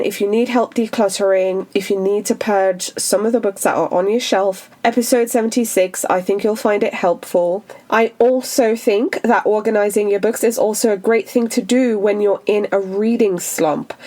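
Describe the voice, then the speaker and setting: A woman hosting a solo podcast about books, chatty and upbeat, sounding a little stuffy from a cold. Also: mid-range voice. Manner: chatty and upbeat, lively rises. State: stuffy, under the weather. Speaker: podcast host.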